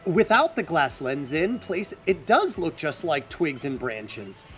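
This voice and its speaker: Man as resourceful young adventurer, very lively intonation, eager, radio drama acting, faint music. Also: a little high, takes it slowly. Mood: amazed. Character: eager, resourceful young male adventurer seeking his grandfather.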